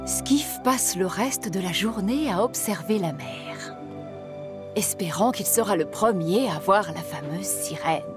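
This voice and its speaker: Woman as a mid-pitched children's cartoon narrator. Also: lively intonation, unhurried, music in the background, lightly acted. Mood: storytelling. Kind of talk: scripted story narration.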